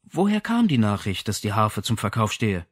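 A man voicing a brisk, stern policewoman in an audiobook, speaking in a firm, formal, insistent manner. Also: lowish voice, sing-song, no echo, clean studio sound. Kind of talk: audiobook character dialogue.

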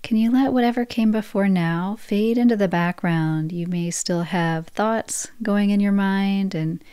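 A woman guiding a yoga nidra relaxation practice, speaking in slow, soft, soothing phrases. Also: medium pitch, smooth, dry recording, studio quality. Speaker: meditation guide.

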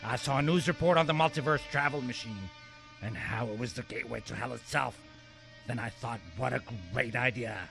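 A man's voice: mid-range voice, fairly quick, vocal fry, music in the background, natural voice. A man playing a gleeful, vengeful maniac supervillain in an amateur radio play, ranting theatrically and menacingly. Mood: scheming, manic.

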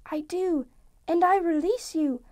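A man reading an audiobook voices a gentle, sorrowful young woman who is releasing her betrothed, speaking softly and with resignation. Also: extremely high pitch, no echo, studio quality, pitched above the performer's own voice. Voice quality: soft, gentle. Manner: quiet, measured, resigned pleading.

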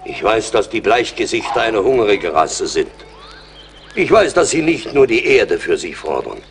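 A venerable old chief speaks in a low voice with weary, ceremonious gravity. It is radio play acting, solemn and just, over faint background noise. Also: male voice, big pitch swings, unhurried. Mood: wistful, wondering. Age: older.

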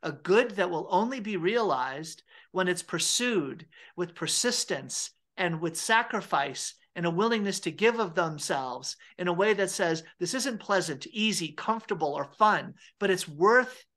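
A man's voice: high-pitched, fairly bright tone. A middle-aged man hosting an interview over a video call from home, speaking earnestly, often repeating his words. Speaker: interviewer, theologian. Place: home room with fireplace.

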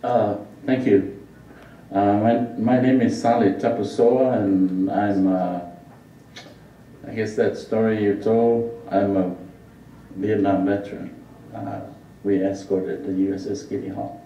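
Slow, very dark-voiced hesitant older man. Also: fairly low pitch, flat delivery, distant mic, slight background noise. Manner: hesitant, halting. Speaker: resident addressing a council. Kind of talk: plain public comment. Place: podium in large council chamber. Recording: dull, echoey room pickup from afar.

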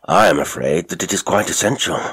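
A male reader plays a middle-aged storybook gentleman, fussy and outwardly genial. His voice is low and husky. He coaxes, repeating himself and stretching out his words. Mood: coaxing, insistent. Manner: coaxing, fussy, repetitive, with drawn-out words. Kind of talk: audiobook character acting.

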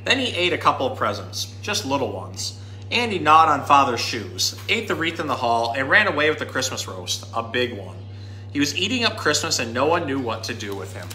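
Bright-voiced man in an echoey room. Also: medium pitch, very animated, a little fast. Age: 30s. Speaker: zookeeper, presenter. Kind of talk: casual educational talk and storybook reading. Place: small indoor room at a pet store.

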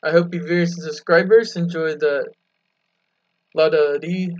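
Slow, higher-voiced man, muffled recording. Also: steady tone. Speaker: video host. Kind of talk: amateur vlog talk to viewers. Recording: dull lo-fi home recording.

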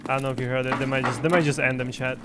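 A man's voice: mid-range voice, flat delivery, racing, very noisy. A young man from Russia chatting casually while streaming an online game, repeating short phrases, recorded close on a mic at a desk. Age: young adult. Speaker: streamer playing an online shooter. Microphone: boom mic close up, with headset.

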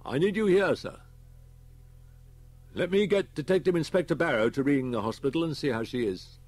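Man acting a brisk senior policeman, pitched high. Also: a little mellow, natural voice. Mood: firm, impatient. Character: brisk, authoritative male senior police officer.

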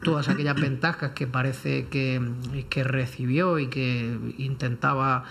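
A man's voice: mid-range voice, steady tone, measured pace, gravelly, a bit bright, a little hiss or hum. A man speaking as a lawyer on a discussion panel, in careful, formal phrases with frequent hesitations. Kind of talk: unscripted legal commentary in a round-table debate.

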